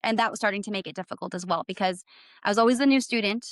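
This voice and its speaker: A woman's voice: mid-range voice, rapid-fire, dry recording, studio quality. A middle-aged woman from Canada, a guest in an interview, speaking reflectively with hesitant fillers. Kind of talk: personal interview conversation.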